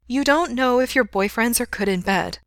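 A woman's voice: on the high side, moderate pace, a bit bright, no echo, clean studio sound, voice pushed up. A woman voicing a blunt, pushy young woman in an audiobook, speaking emphatically and with exasperation. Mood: incredulous.

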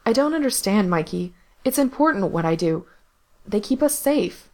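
A woman voicing an anxious young man who pleads with his brother in a high, strained voice. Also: animated, moderate pace, a little mellow. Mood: pleading.

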